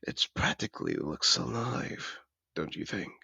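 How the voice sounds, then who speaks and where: A man playing a suave, possessive dragon in disguise in a fantasy audio roleplay, courtly and seductive, savouring his words with a gravelly voice. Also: wildly swooping pitch, a little slow, pushed and bright, no echo, exaggerated voice. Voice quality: very deep, gravelly, creaky. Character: suave possessive male dragon in disguise, acquisitive treasure collector. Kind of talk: romantic fantasy audio roleplay, addressed to the listener.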